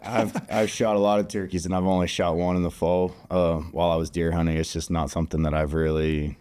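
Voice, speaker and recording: A man's voice: sits low, flat delivery, fast, darkish tone, clear, resonant tone, no room sound, clean studio sound. A man from Kansas, a guest on a podcast, explaining things in an easygoing way with lots of fillers. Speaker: guest, conservation group regional director. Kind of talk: casual podcast chat. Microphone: studio podcast mic.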